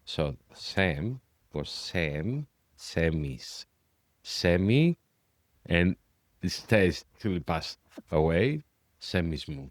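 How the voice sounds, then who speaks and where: A man speaks in a very low voice, slow and halting, often restarting his sentences. He is a guest in a relaxed podcast conversation. The recording is close and dry. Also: no room sound. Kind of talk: casual chat.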